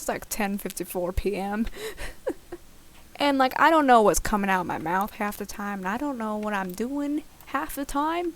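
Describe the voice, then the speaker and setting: A young woman giving casual, rambling, self-deprecating commentary while playing a game, recorded at home. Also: a little high. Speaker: gaming video host. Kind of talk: casual Let's Play commentary of a survival game. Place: at home, late at night.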